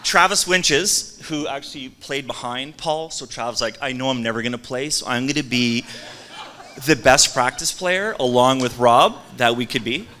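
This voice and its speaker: A man in his forties from Canada gives a hall of fame speech with a bright, forceful voice. He teases his former teammates in a joking way and fills his pauses with lots of ums. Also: medium pitch. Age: 40s. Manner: joking, teasing, lots of um fillers. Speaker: former player giving an induction speech.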